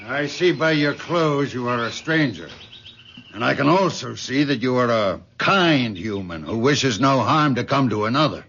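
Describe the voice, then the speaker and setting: Stern elderly frontier patriarch, creaky voice, theatrical denunciations, vintage radio drama, muffled sound. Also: male voice, medium pitch, expressive, normal speed, a bit bright, slight background noise. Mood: stern, self-righteous. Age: older. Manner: archaic, theatrical, denouncing speech. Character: stern bearded old settler father, fiercely controlling and accusing. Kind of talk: old-time radio drama acting. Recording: dull vintage radio recording.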